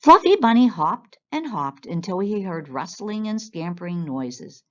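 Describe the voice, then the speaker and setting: A woman reads a picture book to children with a lively, expressive swing in her medium-pitched voice. The recording is a little dull and lo-fi. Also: measured pace. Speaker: children's storyteller. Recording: dull, lo-fi sound.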